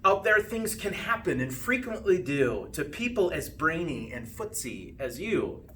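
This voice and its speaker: A man reading a rhyming children's storybook aloud with expressive, sing-song phrasing and drawn-out line endings. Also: a little high. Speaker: school principal reading a storybook. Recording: distant mic with faint background noise.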